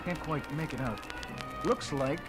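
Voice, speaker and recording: An actor playing an earnest, awestruck astronaut explorer in a 1970s children's record drama. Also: male voice, on the high side, a little slow, loud music behind the voice, natural voice. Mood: excited.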